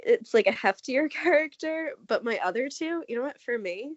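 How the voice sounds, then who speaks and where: A woman's voice: sits high, animated, moderate pace, no room sound. A woman co-hosting a podcast, nasal and scratchy from a cold, rambling with a constant "like". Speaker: podcast co-host. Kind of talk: casual podcast chat. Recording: dry, slightly dull lo-fi audio.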